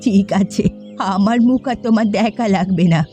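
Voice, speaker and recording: A woman's voice: medium pitch, moderate pace, mellow, close to an everyday voice. Woman voicing an indignant old mother-in-law. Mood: indignant, hurt. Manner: scolding, indignant. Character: aggrieved elderly mother-in-law, quarrelsome and proud. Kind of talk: melodramatic cartoon voice acting. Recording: music behind the voice.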